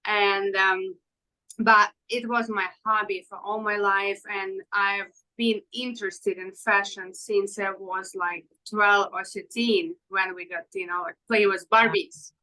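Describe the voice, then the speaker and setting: A woman in her thirties from Ukraine, a fashion designer answering interview questions in halting with many fillers. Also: mid-range voice, steady tone, pushed and bright, rough recording. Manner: halting non-native English with fillers.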